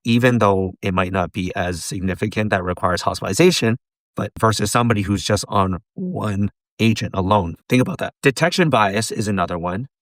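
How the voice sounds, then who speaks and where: Hoarse, low-voiced man teaching. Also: a bit bright, dry recording. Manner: teacherly, poses questions to the viewer. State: hoarse from laryngitis. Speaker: pharmacist and educator. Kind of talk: tutorial lecture.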